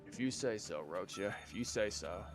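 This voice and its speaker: A man's voice: sits low, lively intonation, normal speed. A man giving casual, offhand reaction commentary as a game streamer, with a wry manner. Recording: game music behind the voice.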